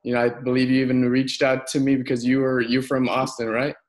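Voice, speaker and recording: A man's voice: medium pitch, little pitch movement, brisk. A man, interviewing a guest in a casual, conversational way.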